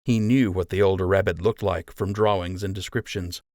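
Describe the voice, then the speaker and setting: A man's voice: sits low, fairly even intonation, normal speed, darkish tone, no echo, clean studio sound. A man reading a children's adventure audiobook as scripted storytelling narration.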